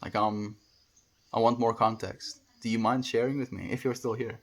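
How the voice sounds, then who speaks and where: A man talks in an easygoing, wandering way, full of fillers as he chats with his stream audience. His voice sits at an ordinary middle pitch. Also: fairly even intonation, normal speed. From South Africa. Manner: meandering, laid-back, many fillers. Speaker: livestreamer reading chat. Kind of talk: casual spiritual chat with viewers.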